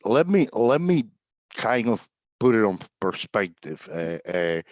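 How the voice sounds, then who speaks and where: A middle-aged man from Cuba, a tax agent talking as a guest on a video call in a relaxed, rambling way with fillers and chuckles. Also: lowish voice, measured pace, dry recording, studio quality. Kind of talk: casual video-call interview.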